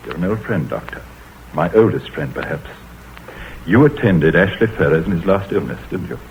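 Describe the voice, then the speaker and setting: An actor playing an uneasy, secretive, middle-aged country squire confiding in vintage radio drama style. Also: male voice, low-pitched, lively intonation, moderate pace. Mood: grave, confiding. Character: anxious, secretive wealthy country squire nearing fifty. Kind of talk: 1930s-40s radio drama acting. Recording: muffled, noisy vintage radio recording.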